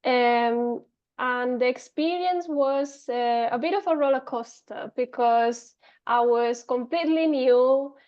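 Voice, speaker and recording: A woman's voice: sits high, slow, highs cut off. A Spanish-accented woman in her thirties, a podcast guest talking casually with many fillers and restarts. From Spain. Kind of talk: casual interview talk.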